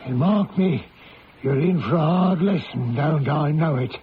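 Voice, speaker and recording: An actor playing a superstitious village tavern keeper with a rustic, foreboding manner in a radio drama. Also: male voice, fairly high pitch, unhurried, close to an everyday voice. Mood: ominous. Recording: dull lo-fi old radio, faint noise.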